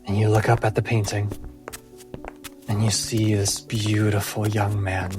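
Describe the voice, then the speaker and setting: A man narrating a fantasy tabletop game as game master, in measured phrases with dramatic pauses. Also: lowish voice, monotone, music in the background.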